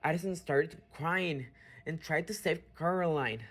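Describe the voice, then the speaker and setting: A woman reading scripted radio drama narration haltingly, correcting small slips as she goes. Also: deep voice, fairly bright tone, breathy edge. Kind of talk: scripted narration, read aloud. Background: music.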